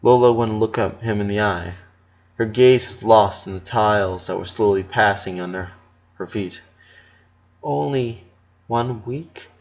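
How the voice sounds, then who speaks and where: A man's voice: on the low side, fairly even intonation, moderate pace, highs cut off. A man reading fiction aloud as a dramatic narration, occasionally stumbling over a word. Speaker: fan fiction reader.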